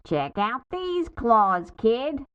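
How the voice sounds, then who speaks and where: Lady doing a raspy cocky girl monster, high and really slow, kids book, bit muffled. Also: big pitch swings, dry recording, exaggerated voice. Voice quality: rasping. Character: brash, cocky girl monster with jagged claws. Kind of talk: children's storybook read-aloud.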